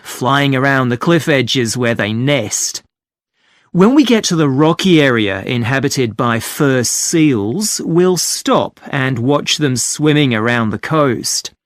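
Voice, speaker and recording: A man playing a cheerful boat tour guide, giving a scripted talk to passengers in a clear, friendly delivery. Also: on the high side, a bit bright, dry recording, studio quality, heavily acted. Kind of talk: scripted exam listening talk.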